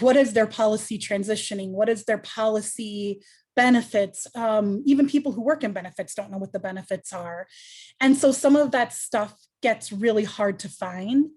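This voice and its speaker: A woman's voice: medium pitch, a little mellow. Earnest woman panelist, thinking aloud. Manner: earnest, thoughtful, many ums and restarts. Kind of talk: panel discussion answer.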